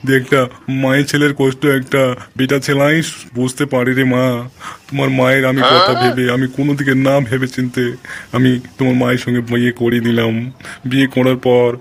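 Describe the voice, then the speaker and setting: A man's voice: medium pitch, little pitch movement, fairly quick. A man voicing an old father-in-law in a dubbed cartoon, speaking in a coaxing, wheedling way over music. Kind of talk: cartoon dubbing. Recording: clear music behind.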